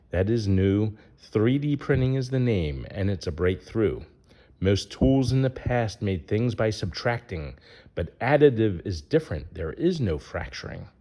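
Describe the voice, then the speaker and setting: A man's voice: lowish voice, mellow. A man reading a rhyming children's picture book aloud in a sing-song way, putting questions to young listeners.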